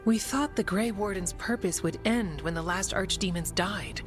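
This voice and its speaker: An actress playing a steadfast, practical warden in a fantasy video game, matter-of-fact and resolute. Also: female voice, medium pitch, moderate pace, natural voice. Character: steadfast, practical female warden, dutiful and reassuring. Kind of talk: video game dialogue acting. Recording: game music underneath.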